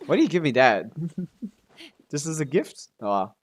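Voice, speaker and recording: A man's voice: on the high side, very animated, moderate pace. A man streaming a video game, chatting casually and thinking aloud in a hesitant, trailing way. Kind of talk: casual livestream gaming commentary and chat.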